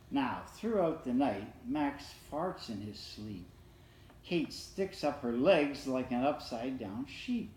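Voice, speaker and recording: A man reading a rhyming holiday poem aloud in a steady, measured way. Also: mid-range voice, slight background noise. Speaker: storyteller reading a Christmas poem. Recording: roomy, distant mic, faint ambience.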